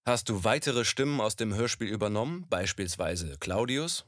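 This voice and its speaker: A man's voice: on the low side, lively intonation, moderate pace, dry recording. Male interviewer with a dry sound. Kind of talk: short off-camera interview questions.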